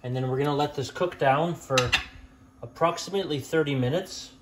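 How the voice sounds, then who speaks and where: A young man hosting a home cooking channel, talking through a recipe step by step in a relaxed, plain-spoken way with small fillers and self-corrections. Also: fairly high pitch, fairly quick, slight background noise. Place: home kitchen at the stove.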